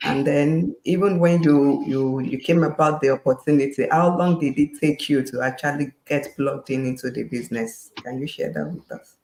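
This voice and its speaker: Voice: mid-range voice, moderate pace, darkish tone, echoey room, rough recording. A co-host politely asking the guest coach a question, restarting a phrase now and then. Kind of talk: interview questions.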